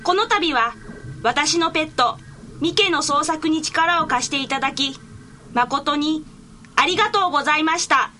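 A woman plays a small boy prince in an anime-style radio drama. The voice is high and bright, earnest and very polite, with careful formal delivery over faint noise. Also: moderate pace. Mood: earnest, grateful. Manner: formal, careful letter reading.

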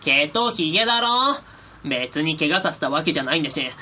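A woman's voice: low voice, expressive, a little fast, bright, forceful tone, full character voice. A woman voicing a brash, hot-blooded young male fighter in an anime-style fantasy radio drama. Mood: smug, defensive. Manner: brash, rough-spoken, boastful.

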